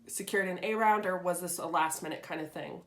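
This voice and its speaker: A young woman interviews a fellow student, her voice sitting a bit low. She keeps the talk casual and supportive and asks follow-up questions. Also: fairly quick, a bit bright.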